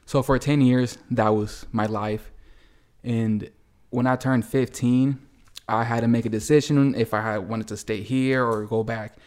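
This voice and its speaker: A man's voice: medium pitch, fairly even intonation, measured pace, a little mellow. A young man from El Salvador, a guest artist answering questions in an easygoing, plain-spoken way at a kitchen table. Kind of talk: casual interview answers. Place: home kitchen.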